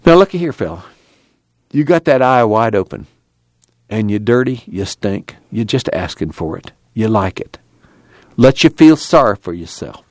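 A man's voice: fairly low pitch, creaky, dry recording. A man voicing a brash, impatient young working man with folksy, blunt, profane rural speech in an audiobook. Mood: impatient scolding. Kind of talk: acted audiobook dialogue.

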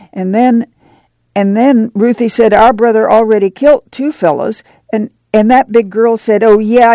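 A woman voicing a young farm boy who tells a story in a breathless, rushed run-on way. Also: mid-range voice, dark tone, dry recording, voice pushed up, close to an everyday voice. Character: breathless little farm boy, excited tattler.

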